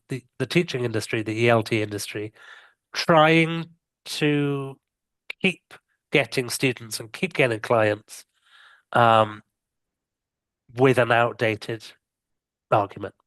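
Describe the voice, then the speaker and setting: A man of middle pitch speaks earnestly on a teachers' panel, pressing each point with firm emphasis. His audio is dry and close, as from a video call. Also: takes it slowly, pauses often, a bit bright, no room sound. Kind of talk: casual online panel chat.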